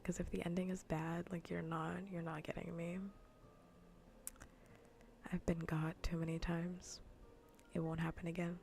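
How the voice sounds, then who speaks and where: A woman speaks in a soft, breathy whisper right up on an ASMR mic, with a faint layer of noise behind her. Her voice is fairly low and gravelly at the edges. She rambles casually, repeating phrases as she chats through a gaming livestream. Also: monotone, measured pace, pauses often. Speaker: streamer. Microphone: close ASMR microphone.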